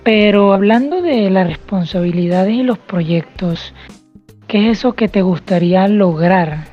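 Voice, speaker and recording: A woman's voice: mid-range voice, unhurried. Woman, interview host, conversational delivery, lo-fi recording, faint music behind.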